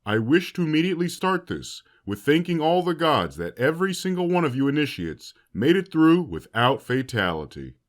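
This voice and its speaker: A man's voice: a little high, big pitch swings, moderate pace, hardly any pauses. A man playing a stern but caring academy headmaster giving a formal, solemn speech in an audio drama. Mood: formal, welcoming. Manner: solemn, oratorical.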